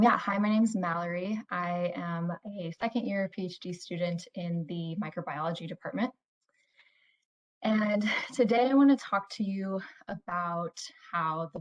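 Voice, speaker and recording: A woman in her twenties, a doctoral student, giving a prepared, measured storytelling talk with a dramatic build. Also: on the low side, rough recording.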